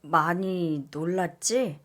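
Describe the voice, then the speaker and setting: A woman voicing a gentle, apologetic young man, her fiancé, in a read-aloud story; he talks slowly and softly. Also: fairly low pitch.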